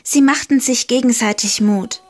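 A woman reading a short homemade fairy tale aloud, slow and deliberate, leaning gently into key words. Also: a little high. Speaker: storyteller. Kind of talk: slow storybook narration.

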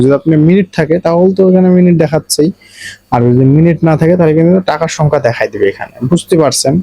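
Fast-talking Bangladeshi man, mellow tutorial voice. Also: on the high side, no room sound, poor audio. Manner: repetitive, explanatory, addresses viewers directly. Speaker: tech tutorial host. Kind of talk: casual step-by-step phone tutorial narration.

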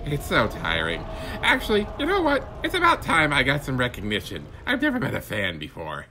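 Man acting a weary keeper of hell, casual, put-upon, lively intonation, comic character piece. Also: medium pitch, brisk, slight background noise, heavily acted. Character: weary, matter-of-fact male underworld gatekeeper.

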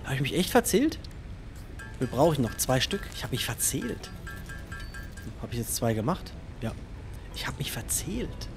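A man's voice: medium pitch, big pitch swings, measured pace, long pauses, over music. A man giving casual let's play commentary, thinking aloud and chatting to himself and his viewers.

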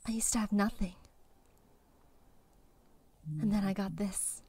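A woman's voice: mid-range voice, fairly even intonation, a little slow, mellow, slightly rough, no echo. A woman dubbing a guarded, steely female spy with buried tenderness in an amateur dramatic film fandub. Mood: sad, subdued. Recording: music behind the dubbed voice.

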